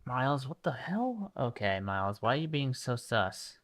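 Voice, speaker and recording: A man's voice: medium pitch, big pitch swings, normal speed, a bit bright. A man giving casual, off-the-cuff commentary while livestreaming a video game.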